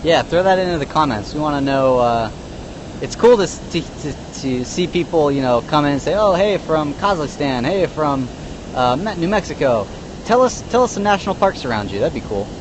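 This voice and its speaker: A man's voice: fairly high pitch, animated, brisk. A man from Northern California working as a park ranger, explaining casually and laid-back on a live stream from an outdoor viewing platform, with lots of you know. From North Bay of California. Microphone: phone live-stream. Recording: outdoor noise behind the voice.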